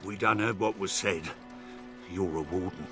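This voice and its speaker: A gravelly rustic bandit. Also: male voice, low-pitched, moderate pace, over loud music. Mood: menacing. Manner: coarse rustic speech. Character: rough male bandit leader, greedy.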